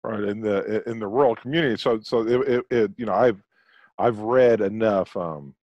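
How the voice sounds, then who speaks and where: Male co-host, low voice, dull dry lo-fi sound, halting stammering chat. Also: no room sound. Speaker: podcast co-host. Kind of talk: casual video-call chat. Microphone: headset on video call.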